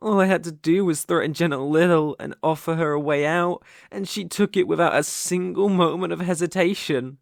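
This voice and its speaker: British guy acting a smug dominant bully boyfriend for asmr, slow teasing talk, creaky voice, really dry sound. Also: on the high side, dry recording. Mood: teasing, amused. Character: dominant, teasing, possessive young male bully in love. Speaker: ASMR roleplay performer. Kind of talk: intimate ASMR roleplay.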